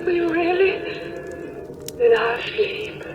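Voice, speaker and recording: A delicate, frightened young lady speaks in breathy, swooning melodrama. Her pitch swoops widely in the manner of 1930s radio horror. The recording is muffled and thick with noise. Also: expressive, slow, full character voice. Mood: fearful. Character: frightened, frail young lady falling under vampire's curse.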